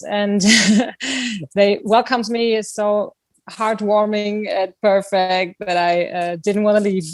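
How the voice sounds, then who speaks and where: A woman with a German accent chatting casually in an interview as a band singer, halting as she searches for words. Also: medium pitch, dry recording.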